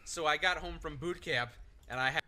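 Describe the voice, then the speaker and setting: A man's voice: fairly high pitch, normal speed, sharp tone. A young man, a veteran, telling sheepish stories in a casual chat and laughing often. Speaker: co-host, veteran.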